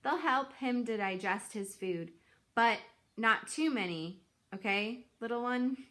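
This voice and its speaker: Lady doing bossy old mama goose from a kids book, protective, bright forceful voice. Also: fairly high pitch, slow. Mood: amused, kindly. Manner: bold, motherly scolding.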